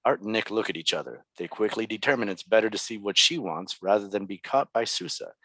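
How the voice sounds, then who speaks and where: A man narrates a screenplay's stage directions. His low voice has a bright, crisp edge and is recorded close and dry. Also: fairly quick, no echo, poor audio. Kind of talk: scripted narration for a table read.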